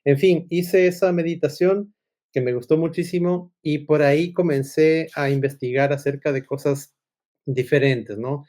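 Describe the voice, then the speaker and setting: A man's voice: a little high, fairly even intonation, a little slow, dark tone. A middle-aged man, talking casually and discursively as a podcast guest, often checking in with little tag questions. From Ecuador. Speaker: podcast guest, agile consultant and coach. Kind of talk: casual conversational storytelling.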